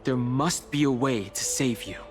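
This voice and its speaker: A man playing a serious, level-headed young adventurer in a fantasy game, reserved, earnest and quietly caring as he talks. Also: medium pitch, music in the background, lightly acted. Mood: earnest, reassuring. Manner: measured, earnest, reserved, with sighs. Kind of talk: scripted fantasy game dialogue.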